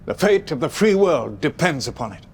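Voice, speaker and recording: Dignified British field marshal, slightly high voice, formal military delivery, faint noise behind. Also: male voice, very animated, moderate pace. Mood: stern, formal.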